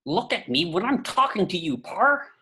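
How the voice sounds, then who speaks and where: A woman's voice: fairly low pitch, big pitch swings, normal speed, bright, forceful tone, a little hiss or hum. A woman playing a fussy, tyrannical middle-aged male office boss in a script read, clipped, pompous and condescending. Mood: angry, commanding. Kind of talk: amateur script table read.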